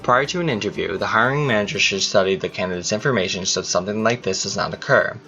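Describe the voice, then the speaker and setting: Male narrator, deep and bright-toned, brisk scripted delivery. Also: lo-fi sound, faint music behind. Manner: reads evenly from a script.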